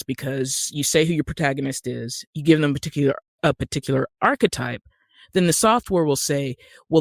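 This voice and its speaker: A man's voice: medium pitch, no room sound, clean studio sound. An American man from Utah, a podcast guest who works as an actor and screenwriter, explaining things conversationally. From Utah, USA.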